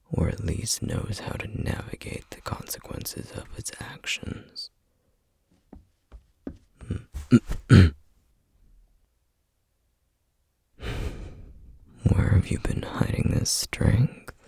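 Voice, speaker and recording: A man playing a stern, duty-bound flame demon in an ASMR roleplay, speaking in a breathy, husky half-whisper with grave, measured formality. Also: sits low, mellow, no room sound, exaggerated voice. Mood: guarded, firm. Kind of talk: ASMR roleplay, scripted acting.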